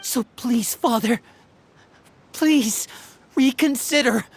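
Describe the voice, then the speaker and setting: Casting: sincere, imploring teen boy for game drama; slightly high voice. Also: slow pace, a little hiss or hum. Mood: pleading. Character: earnest, pleading teenage boy.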